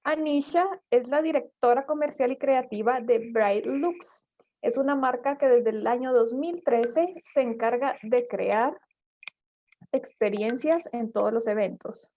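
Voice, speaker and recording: Woman, event co-host, fairly high voice, mellow tone, steady announcer delivery, video call. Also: measured pace. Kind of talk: reading a speaker introduction.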